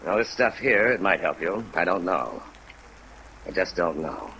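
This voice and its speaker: An actor playing an earnest, discouraged American insurance claims man, halting and sincere, in a 1950s radio drama. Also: low-pitched, animated, sharp tone, full character voice, low-quality recording. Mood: earnest, weary. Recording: vintage radio recording with rain noise.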